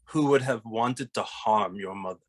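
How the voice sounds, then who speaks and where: A man playing a serious, methodical ghost detective, formal and polite, in an animated comedy table read. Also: fairly low pitch, normal speed, close to an everyday voice. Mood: serious, composed.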